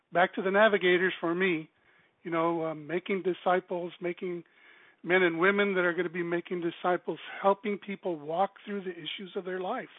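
A middle-aged man from South Texas speaks earnestly as a radio guest, often rambling and filling pauses. His voice is fairly high. He comes through a tinny phone line with a dry sound. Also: no echo. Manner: earnest, rambling, many fillers. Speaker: ministry director, guest.

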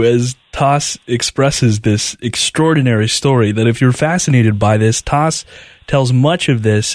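Male radio host, mid-pitched, conversational interviewer, dry close studio sound. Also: darkish tone, no room sound. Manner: conversational, brief affirmations.

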